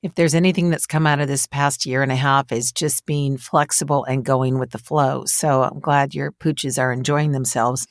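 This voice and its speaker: A woman's voice: extremely low pitch, steady tone, moderate pace, few pauses, no echo, studio quality. A woman hosting a casual podcast interview in a conversational, complimentary way.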